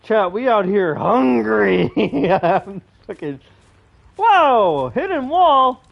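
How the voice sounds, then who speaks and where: A man chatting casually as he streams a game, with a high voice for a man. His delivery is slangy and jokey, on a slightly dull mic. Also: big pitch swings, extremely slow, creaky. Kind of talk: casual livestream chat.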